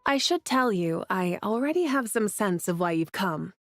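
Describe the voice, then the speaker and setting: A woman voicing a welcoming, composed and calmly wise female leader in a video game, with a reassuring manner. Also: medium pitch, moderate pace, soft background music, close to an everyday voice. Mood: warm, composed. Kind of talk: video game character acting.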